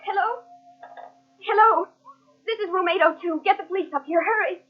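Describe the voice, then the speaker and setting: A woman's voice: extremely high pitch, moderate pace. An actress playing a frightened, polite young woman in a 1940s radio crime drama, breathless and anxious. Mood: alarmed, urgent. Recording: muffled old radio recording.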